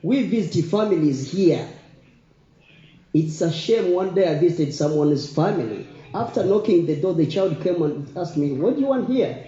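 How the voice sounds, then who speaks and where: A middle-aged Ugandan man whose first language is Luganda speaks earnestly in public. His voice sits fairly high yet is very soft-edged and dark, with a clearly reverberant, slightly muffled room sound. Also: expressive, normal speed. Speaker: community event speaker. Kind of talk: informal public speech. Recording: muffled, echoey room recording.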